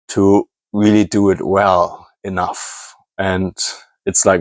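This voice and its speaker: A low-voiced man with a German accent talks through a casual hobby vlog in English, his second language. He rambles, hunts for words and corrects himself as he goes. The sound is dry and close, with little room echo. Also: darkish tone. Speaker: scrapping hobbyist.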